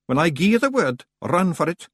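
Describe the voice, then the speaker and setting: A man voicing a tiny, brash, cheerful pixie man with a broad Scottish accent, gruff and hearty. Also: on the high side, big pitch swings, fairly quick, a bit bright, no echo, studio quality, voice pushed up, exaggerated voice. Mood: cheery.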